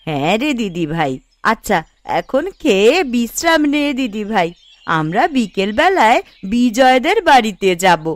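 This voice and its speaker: A woman voicing a kindly, tired elderly village grandmother in a cartoon, speaking affectionately and slowly. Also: a little high, sing-song, no echo. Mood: contented, fond. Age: elderly figure. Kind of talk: Bengali family cartoon voice acting.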